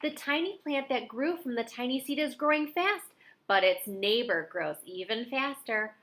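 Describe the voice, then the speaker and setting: A middle-aged woman reading a children's picture book aloud in an expressive way with dramatic emphasis. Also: a little high, normal speed. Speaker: storybook reader.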